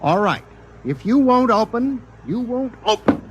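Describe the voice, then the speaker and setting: Meek, high-voiced, flustered young fellow. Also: male voice, big pitch swings, unhurried, muffled recording, background noise, exaggerated voice. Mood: resigned. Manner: hesitant, easily flustered, exclaiming. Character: timid, sickly, flustered young attorney, a meek man. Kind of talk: 1950s radio drama acting. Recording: vintage 1950s radio broadcast.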